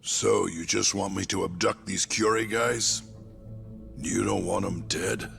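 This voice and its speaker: Very gravelly yakuza captain. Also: male voice, low-pitched, fairly even intonation, measured pace, a bit bright, exaggerated voice. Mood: wary, gruff. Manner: sneering, threatening. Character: menacing, foul-mouthed middle-aged yakuza family captain. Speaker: voice actor in a video game dub. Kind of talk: cinematic crime game acting. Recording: dramatic music behind the voice.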